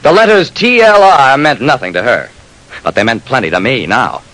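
An old radio broadcast recording of a man playing a private detective. He narrates in terse, wry, matter-of-fact lines, his pitch moving up and down freely in the manner of mid-century radio crime drama. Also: expressive, normal speed, fairly bright tone, lightly acted, poor audio. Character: brisk male private detective narrating his own case. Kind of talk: 1940s-50s radio crime drama, first-person narration.

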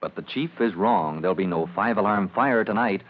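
A man's voice: lowish voice, brisk. Dramatic male radio serial announcer. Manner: dramatic, formal delivery. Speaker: narrator and announcer. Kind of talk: 1940s-style radio serial narration, scripted. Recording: muffled old radio recording.

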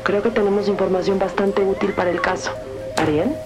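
A woman playing an alert police detective in an audio drama, brisk and collegial with a partner. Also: mid-range voice, steady tone, music in the background, close to an everyday voice. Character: alert female detective coordinating with her partner.

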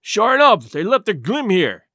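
Guy doing a rough pirate crewman from a storybook, calling out to the boss, high sharp voice. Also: wildly swooping pitch, moderate pace, no echo, studio quality, heavily acted. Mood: urgent, calling out. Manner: rough seafaring talk, calling out. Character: rough pirate henchman calling out to his chief.

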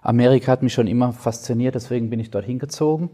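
A man's voice: mid-range voice, quick pace, mellow, slightly rough. A man on a school panel giving encouraging advice to students. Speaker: panelist, financial journalist.

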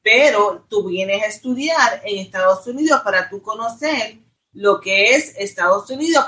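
A woman in a casual panel chat, talking quickly and insistently over the others. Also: mid-range voice, fairly bright tone, rough recording. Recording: video call.

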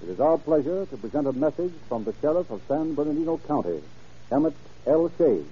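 A male American announcer from 1930s radio delivers formal, declamatory script in a fairly high voice. Music plays clearly behind him on the dull, old broadcast recording. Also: big pitch swings, fairly quick. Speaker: announcer and narrator.

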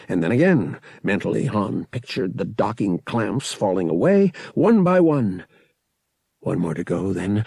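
A man with a husky, raspy voice reading an audiobook as dramatic scripted narration. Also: fairly low pitch, darkish tone, no room sound.

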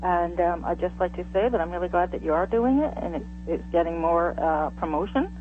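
A man's voice: high-pitched, fairly quick. A man from Canada calling in by phone, speaking casually and hesitantly. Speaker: caller, old-time radio fan. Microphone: telephone. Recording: tinny phone line with hum and faint noise.

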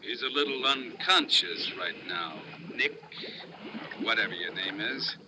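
An actor playing a suspicious boat skipper, clipped and falsely agreeable, in an old-time radio drama. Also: male voice, fairly low pitch, lively intonation, unhurried, a crowd in the background. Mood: menacing. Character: suspicious, menacing boat skipper toying with his diver. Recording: noisy vintage radio, water ambience.

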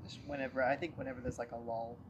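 A man's voice: medium pitch, level tone, fast talker, highs cut off, noisy background. A man talking casually outdoors during a ghost hunt. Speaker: paranormal investigator. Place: outdoors in a park.

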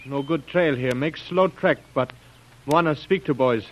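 A man's voice: a little high, a little slow, close to an everyday voice. An actor playing an obliging, deferential native bearer foreman who speaks broken English in short clipped phrases, in old radio adventure style. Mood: deferential, earnest. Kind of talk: 1930s radio adventure acting. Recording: dull lo-fi old radio recording.

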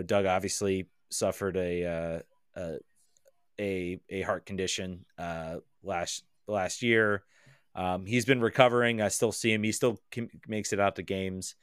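Guy with a lowish smooth voice, rambling podcast chat, lots of ums, dry sound. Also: fairly bright tone, no echo. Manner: hesitant, lots of ums and repeated words. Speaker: podcast guest.

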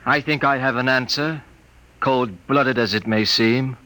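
Cold-blooded space crewman, indignant, sharp-edged forceful voice, classic radio drama. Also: mid-range voice, measured pace, low-quality recording. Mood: cold, resolute. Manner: hard, forceful.

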